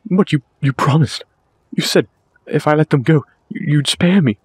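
Cowardly, self-important duke for audio drama, male actor: husky, faltering, pleading, recorded dry. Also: a little high, moderate pace, no room sound. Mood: panicked pleading. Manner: stammering, pleading, pompous. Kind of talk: scripted villain roleplay audio drama.

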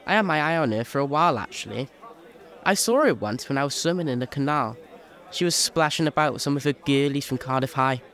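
An actor playing a cheeky, boastful young chimney sweep in an audio drama. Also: male voice, mid-range voice, expressive, a little fast, a bit bright, some chatter behind.

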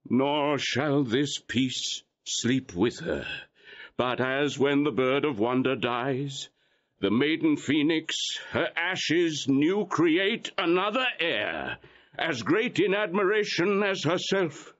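Slow, solemn archbishop. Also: male voice, on the high side, expressive, fairly bright tone, lo-fi sound. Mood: solemn, prophetic. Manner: declamatory, reverent, oratorical. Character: solemn, exalted archbishop prophesying blessings. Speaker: actor playing an archbishop. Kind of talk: Shakespearean audio drama acting.

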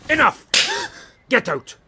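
A man's voice: slow pace, noisy background, close to an everyday voice. An actor playing a stern, pious, wrathful Scottish father, harsh and preaching, in a radio play. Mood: furious. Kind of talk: radio drama acting.

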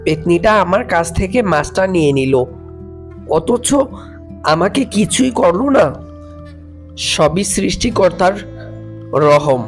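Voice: mid-range voice, expressive, measured pace, long pauses, soft background music. A cartoon performer playing a fearful villager who worries aloud and puts up bravado. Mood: relieved. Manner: muttering worries to self, nervously defiant. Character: fearful villager carrying fish home, putting up bravado. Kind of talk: Bengali ghost cartoon dialogue.

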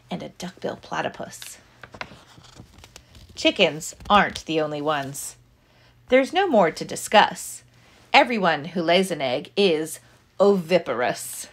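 A woman reading a children's picture book aloud like a teacher, with deliberate, expressive phrasing for young listeners. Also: medium pitch, a little hiss or hum.

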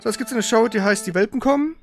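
A man's voice: high-pitched, quick pace, creaky, soft background music. A man hosting a gaming livestream, chatting informally and rambling a little. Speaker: gaming stream host. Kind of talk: casual livestream chat.

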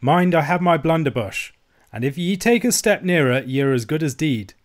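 A man's voice: on the high side, animated, normal speed, voice pushed up, lightly acted. A man narrating a storybook voices a suspicious, miserly old Scottish uncle, frightened and grudging, with a wary quaver. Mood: threatening, cautious.

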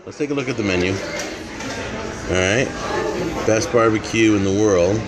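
A man's voice: lowish voice, a crowd in the background. Chatty man filming in a noisy restaurant. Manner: chatty, rambling, quick laughs. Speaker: food show host. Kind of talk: casual restaurant vlog. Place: busy barbecue restaurant dining room. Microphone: handheld camera. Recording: loud crowded restaurant noise.

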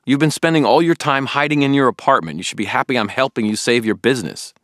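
An earnest young guy, acted out by a male narrator in an audiobook, pitched up from a deep reading voice. He is blunt and pushy, like a worried friend who has had enough. The sound is close and dry. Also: fast talker, fairly bright tone, no echo, lightly acted. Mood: exasperated, heated. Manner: emphatic, blunt, informal.

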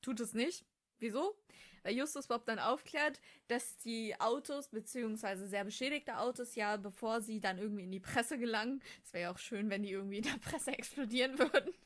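A woman's voice: on the high side, a little fast. A woman co-hosting a podcast, recapping and chatting in a casual way. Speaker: podcast co-host.

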